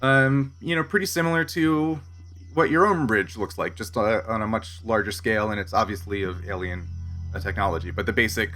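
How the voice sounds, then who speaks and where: A man improvising narration as game master of a casual tabletop role-playing game, pausing to find his words. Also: lowish voice, sharp tone. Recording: faint music underneath.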